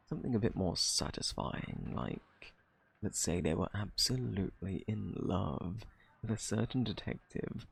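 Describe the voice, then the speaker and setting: A man acts out a cunning gentleman thief in an ASMR roleplay, British and shamelessly flirtatious. His husky voice sits low, thick with gravelly fry. He swoops through his lines in a smug, taunting drawl, feigning innocence as he teases. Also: exaggerated voice. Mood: teasing, sly. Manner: teasing, drawling, mock-innocent.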